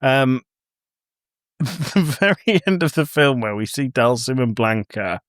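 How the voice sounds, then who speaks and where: A man co-hosting a podcast, telling things in an animated, casual conversational way. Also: mid-range voice, slow pace, dry recording, clean studio sound. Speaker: podcast host. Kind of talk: casual film discussion.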